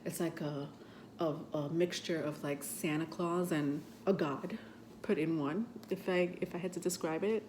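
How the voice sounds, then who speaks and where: A woman's voice: sits low, a little slow, darkish tone, a little hiss or hum. A woman with a stuffy voice from a cold, hosting a tarot vlog in a rambling, chatty way full of fillers. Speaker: tarot reader and channel host. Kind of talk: casual vlog talk to camera.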